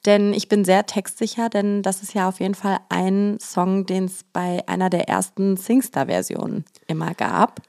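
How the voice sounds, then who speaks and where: Hoarse chatty woman. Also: medium pitch, steady tone, moderate pace, hardly any pauses, a little mellow. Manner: chatty, self-mocking, laughs often. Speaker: podcast co-host. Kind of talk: casual chat.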